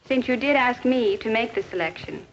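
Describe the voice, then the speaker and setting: An actress playing an earnest, caring high school teacher in a 1950s educational film, measured and formal in delivery. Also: female voice, expressive. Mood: formal, composed. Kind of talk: 1950s educational film acting with inner-thought narration. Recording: dull, noisy 1950s film soundtrack.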